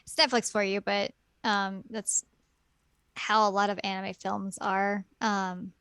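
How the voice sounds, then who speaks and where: A woman's voice: mid-range voice, flat delivery, slow pace, a bit bright, clean tone, dry recording. Giggly, rambling woman podcast co-host. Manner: rambling, giggly, with many fillers. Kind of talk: casual podcast chat.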